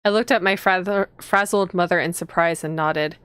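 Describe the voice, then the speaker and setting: A woman's voice: lowish voice, steady tone, moderate pace, a bit bright, smooth, no room sound. A woman reading visual novel text aloud as a streamer, expressively, with casual reactions to the story.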